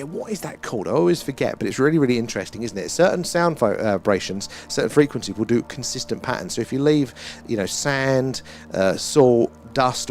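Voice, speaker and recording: A man's voice: mid-range voice, a little mellow, a little hiss or hum. A British man hosting a live stream, chatting casually in a rambling, musing way with some mild swearing. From United Kingdom. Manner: musing, loosely philosophical, mildly sweary. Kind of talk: casual rambling livestream chat.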